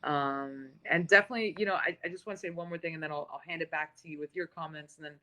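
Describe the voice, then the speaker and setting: A woman's voice: low-pitched, fairly even intonation, quick pace, bright, forceful tone. A woman interviewing in a casual live-stream chat, enthusiastic and laughing often.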